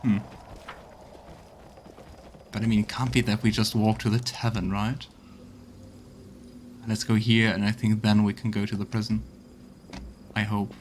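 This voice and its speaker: A man's voice: on the low side, flat delivery, measured pace, long pauses, slight background noise. A man giving a casual let's play commentary, thinking aloud as he goes. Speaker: game streamer.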